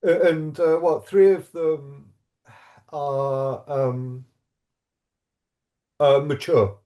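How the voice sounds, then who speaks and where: A man's voice: fairly high pitch, steady tone, very slow, dark tone. A man from a university programme answering questions in a webinar, hesitant and full of fillers and restarts. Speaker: programme staff member.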